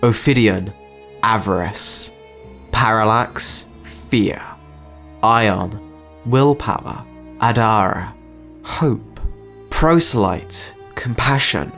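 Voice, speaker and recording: Male narrator, lowish voice, scripted dramatic storytelling, soft music behind. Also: very slow, pauses often.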